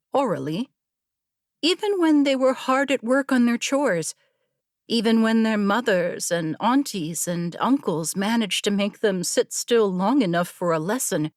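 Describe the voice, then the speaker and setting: A woman narrating a scripted story as an audiobook, in a measured, literary storytelling manner. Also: medium pitch, no room sound, studio quality.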